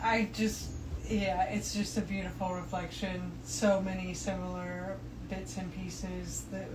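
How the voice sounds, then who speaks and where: A woman guest talks reflectively in casual spiritual chat, often stopping and restarting her thoughts. She is heard from a distance in a reverberant room. A clear noise bed runs under her voice. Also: lowish voice, little pitch movement, measured pace. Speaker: podcast guest.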